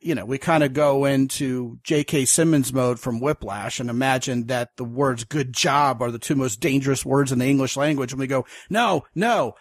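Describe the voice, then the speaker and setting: A man hosting a podcast interview, talking at length in a wordy, animated way and acting out the inner voices he describes. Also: medium pitch, normal speed, hardly any pauses, dry recording, studio quality. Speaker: podcast host. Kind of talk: conversational interview.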